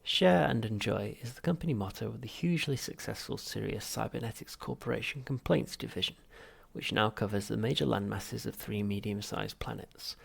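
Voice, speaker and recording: A man's voice: on the low side, vocal fry, slightly rough. A man reading a comic novel aloud as scripted audiobook narration. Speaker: audiobook reader.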